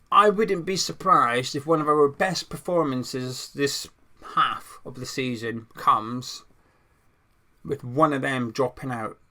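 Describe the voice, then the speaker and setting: A young man with a croaky voice, giving his football opinions in a casual, conversational monologue with some restarts as a channel host. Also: mid-range voice, bright, forceful tone.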